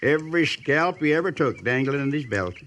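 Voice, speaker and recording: A man's voice: on the high side, expressive, fairly quick, fairly bright tone, slight background noise. A man playing a wry, skeptical frontier army scout with folksy, blunt speech, in 1950s radio western style. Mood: wry, wary.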